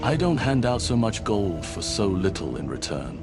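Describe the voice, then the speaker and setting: A steadfast adventurer in a fantasy video game. His voice is fairly low but moves in lively swings, direct and firm as he questions people. Music plays behind him. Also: normal speed, vocal fry. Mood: firm, wary.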